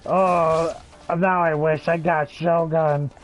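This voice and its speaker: A man's voice: a little high, level tone, slow, rough recording. A man giving casual live gameplay commentary as a streamer, chatting as he plays. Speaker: game streamer. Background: game music.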